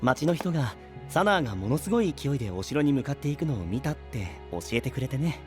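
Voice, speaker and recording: A kindly young knight, acted by a man with a mid-pitched, lively voice. He talks in an easygoing, reflective way in an audio drama, over faint music. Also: quick pace, lightly acted. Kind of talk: Japanese anime-style voice drama. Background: background music.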